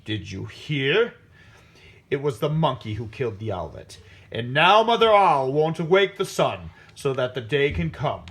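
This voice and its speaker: A man voicing a commanding lion king who questions his animal council in emphatic, drawn-out proclamations. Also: medium pitch, big pitch swings, fairly bright tone, husky, exaggerated voice. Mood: solemn, concerned.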